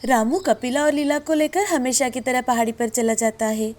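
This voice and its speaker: A woman's voice: sits high, normal speed, darkish tone. A woman storyteller reading a scripted moral tale as narrator. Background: background music.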